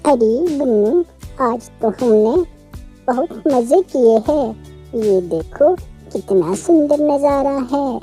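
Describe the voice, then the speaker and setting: A woman voicing a playful little baby sparrow in a children's cartoon, talking in an excited high child voice. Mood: cheerful.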